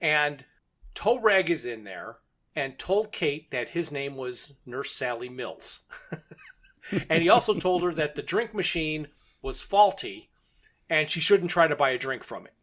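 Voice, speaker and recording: A man's voice: fairly high pitch, lively intonation, a little slow, fairly bright tone. A man co-hosting a podcast, recapping a story step by step in a plain, casual way. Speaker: podcast co-host.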